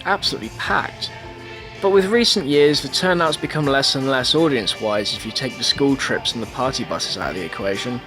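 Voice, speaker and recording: A young adult man, narrating a documentary from a script. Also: medium pitch.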